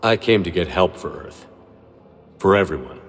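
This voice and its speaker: Steady serious army commander in a video game, real low voice, hall reverb. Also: male voice, normal speed. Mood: earnest, determined. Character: resolute military commander, adult man, grave and steady. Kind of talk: video game dialogue acting.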